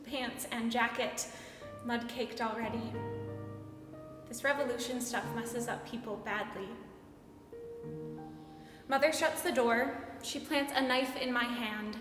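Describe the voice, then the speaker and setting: A young woman performing a dramatic storytelling monologue on stage in deliberate, emphatic, clipped phrases. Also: on the high side, fairly even intonation. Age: young adult. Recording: echoey hall with long reverb. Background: music.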